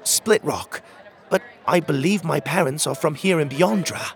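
A man's voice: a little high, animated, normal speed, pitched above the performer's own voice. A man voicing a timid, earnest young boy in a storybook, with a hesitant, stammering manner. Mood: polite, hopeful. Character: timid, earnest lost little boy searching for parents.

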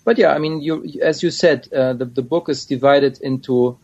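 A man, a scholar being interviewed, answering in a hesitant, thoughtful way with frequent pauses. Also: medium pitch, darkish tone. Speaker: scholar of Islamic studies, guest. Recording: dull lo-fi audio.

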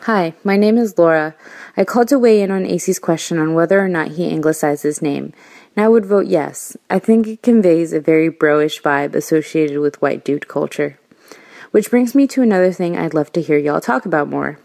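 An American woman delivers a prepared voicemail in a composed way. Her voice is mellow and soft-edged, sitting a little low for a woman. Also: normal speed, vocal fry. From United States, New York and Florida. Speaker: listener leaving a voicemail.

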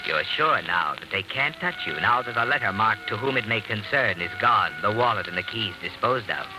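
An actor playing a sardonic, needling narrator who taunts like a guilty conscience in an old-time radio drama. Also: male voice, on the low side, expressive, moderate pace, lightly acted, low-quality recording. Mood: sardonic, taunting. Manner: knowing, taunting rhetorical questions. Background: dramatic music.

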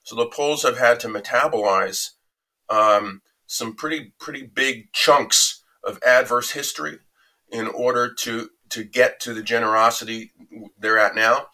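A man answering an interview question in a reflective, anecdotal way, measured and conversational. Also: mid-range voice, steady tone, pushed and bright, low-quality recording. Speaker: interview guest recounting a reporting trip.

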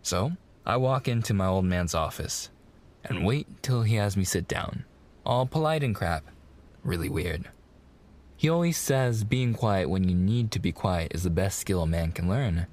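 An actor playing a mafia boss's young son, an eager, sweet fiancé coaxing in slangy gangster talk in an intimate roleplay. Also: male voice, sits low, expressive, a little slow, darkish tone. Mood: animated storytelling. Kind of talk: boyfriend ASMR roleplay, intimate monologue.

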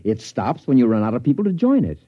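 A husky, absent-minded old-radio scientist. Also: male voice, medium pitch, wildly swooping pitch, fast, no room sound. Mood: vague, musing. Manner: mild, hesitant, musing, professorial. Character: unflappable absent-minded male scientist, smugly detached doctor. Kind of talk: 1950s radio drama acting. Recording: dull, dry vintage radio recording.

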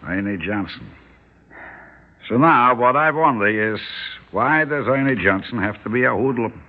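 An actor playing a calm, stubborn older shopkeeper who reasons in wry questions and Yiddish-inflected phrasing, in old-time radio style. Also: male voice, mid-range voice, measured pace. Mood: gently probing. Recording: muffled old radio recording.

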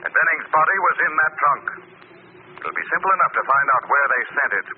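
An actor playing a menacing, mocking crime-fighter in a 1940s radio drama, accusing with deliberate, taunting relish. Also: male voice, fairly high pitch, expressive, close to an everyday voice. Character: commanding male crime-fighter, menacing, mocking, relentless avenger. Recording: tinny, noisy old radio recording.

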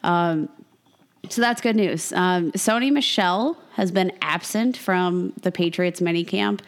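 Woman podcast co-host, lower pitch, slight cold rasp, quick and self-mocking. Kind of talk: casual fantasy football chat.

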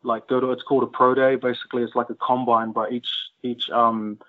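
Young man, from New Zealand, rugby player guest, relaxed and rambling, tinny phone-quality sound. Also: medium pitch, a little fast. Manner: relaxed, loose with fillers and restarts. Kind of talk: casual interview chat.

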